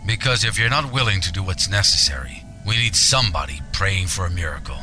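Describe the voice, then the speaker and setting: An actor playing a ruthless military general in a science fiction audio drama, cold, grave and deliberate in manner. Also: male voice, sits low, expressive, pushed and bright, soft background music, heavily acted. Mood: quietly menacing.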